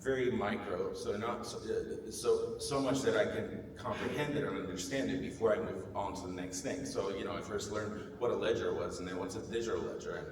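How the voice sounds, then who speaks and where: A Bulgarian-accented man with a low, slightly worn voice speaks earnestly on a conference stage, often restarting his sentences. The sound is roomy and far, with a long hall reverb. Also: fairly quick, few pauses. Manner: earnest, self-deprecating, many restarts. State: losing his voice. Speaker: panelist, marketing executive. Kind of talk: conference panel talk. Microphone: handheld mic. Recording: echoey hall, distant mic, faint noise.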